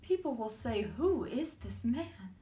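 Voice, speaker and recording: A woman's voice: a little high, big pitch swings, takes it slowly, warm tone. A woman performing a stage drama monologue as a tender, devoted young woman in love, earnest and poetic. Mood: proud, tender. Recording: roomy, distant mic, faint noise.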